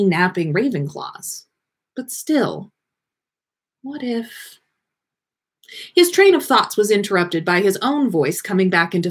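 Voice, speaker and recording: A woman reading fan fiction aloud as an expressive storyteller. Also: medium pitch, breathy edge.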